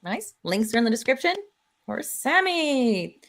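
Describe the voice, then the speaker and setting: A woman's voice: on the high side, big pitch swings, unhurried. A woman hosting a casual group livestream chat in a playful, bubbly manner. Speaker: host of a livestream.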